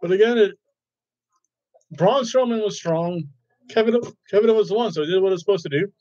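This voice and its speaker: A man hosting a casual wrestling review, rambling and excitable, often restarting his sentences. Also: sits high, a little fast. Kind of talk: casual chat. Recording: dull lo-fi recording.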